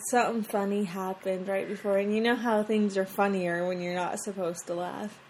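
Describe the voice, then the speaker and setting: Woman, mid-pitched; friendly casual reader, giggles easily; faint noise behind. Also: steady tone, moderate pace, a little mellow. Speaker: podcast co-host. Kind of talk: casual chat and reading aloud.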